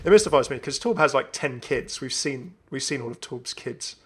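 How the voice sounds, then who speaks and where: A man's voice: mid-range voice, animated, normal speed, a bit bright, slightly rough. A man hosting a gaming video, commenting casually and enthusiastically as he thinks aloud. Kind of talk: casual game update commentary.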